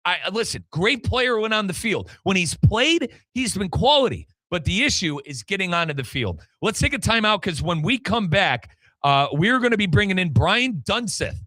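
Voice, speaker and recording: A man hosts a soccer radio show in a bright, forceful voice that sits a little high. The sound is very dry, and he talks with emphatic, opinionated conviction. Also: very animated, moderate pace, dry recording. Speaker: sports radio host. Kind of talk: animated soccer radio talk.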